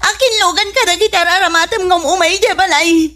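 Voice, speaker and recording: Actress as whiny, boastful young Ilocano woman for comedy radio drama; very high, bright, drawn-out delivery. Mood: tearful, pleading. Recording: music bed behind the voice.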